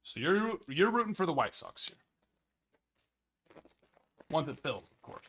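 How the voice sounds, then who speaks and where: Man, card break host, casual live chat, rambling, slightly hoarse, bright tone. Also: sing-song, unhurried. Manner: chatty, rambling, upbeat sales pitches. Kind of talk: casual livestream chat with viewers.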